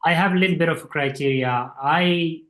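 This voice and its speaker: A man's voice: fairly high pitch, fairly quick, fairly bright tone. A man, a guest explaining his views at length in a casual interview. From Morocco. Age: 40s. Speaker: guest, supply chain executive.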